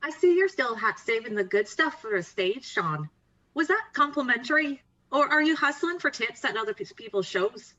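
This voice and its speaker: An actress playing a wry, bold young best friend, teasing and confident, in a conversational table read. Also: female voice, a little high, expressive, moderate pace, bright, forceful tone, highs cut off. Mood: sardonic, teasing.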